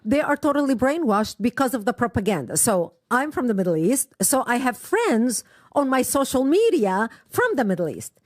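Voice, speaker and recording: A woman's voice: fairly high pitch, very animated, normal speed, no room sound. A middle-aged woman from Lebanon, a guest telling personal stories with impassioned emphasis, recorded close on a studio mic. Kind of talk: personal testimony in an interview.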